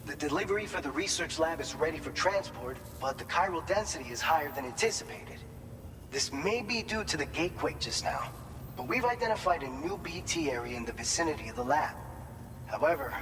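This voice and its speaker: Actor as higher-voiced chatty staffer in reverberant hall. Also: male voice, natural voice. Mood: concerned. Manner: explanatory, informal, encouraging. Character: chatty, upbeat male distribution center staffer briefing a courier. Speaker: briefing officer. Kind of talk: video game cutscene dialogue. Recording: noisy ambience, long hall reverb.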